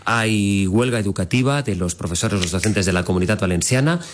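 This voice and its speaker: A middle-aged man whose native language is Catalan, hosting a conversational podcast in an earnest, measured, searching way. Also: fairly low pitch, slight background noise. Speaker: podcast host and radio journalist.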